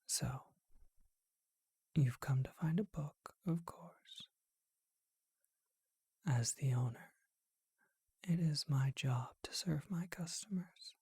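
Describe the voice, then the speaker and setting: A performer voicing a charming, courtly male vampire who keeps a bookshop, whispering slowly and deliberately in an ASMR roleplay. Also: mid-range voice, warm tone, breathy edge, no echo, clean studio sound, full character voice. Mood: courtly, inviting.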